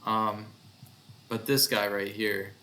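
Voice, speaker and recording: Male developer, casual screen demo: low-pitched, very unhurried, hesitant thinking-aloud delivery, faint noise behind. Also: little pitch movement, sharp tone. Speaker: developer presenting own project.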